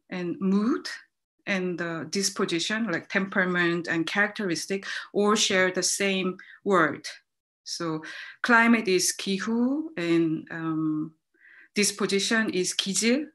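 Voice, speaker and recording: A woman's voice: mid-range voice, fairly bright tone. A woman in her thirties from South Korea, whose first language is Korean, speaking English with a Korean accent as she opens a panel, thinking aloud with many fillers over a laptop video call from a home study. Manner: thoughtful, hesitant, many fillers. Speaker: moderator. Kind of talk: opening remarks on a panel. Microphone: laptop mic on a video call.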